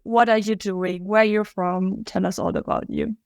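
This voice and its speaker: A woman's voice: medium pitch, fairly even intonation, normal speed, creaky, clean tone, no echo. A woman hosts an interview podcast and greets her guests with an upbeat, welcoming manner. Her voice is close and dry, with no room sound around it. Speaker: podcast host.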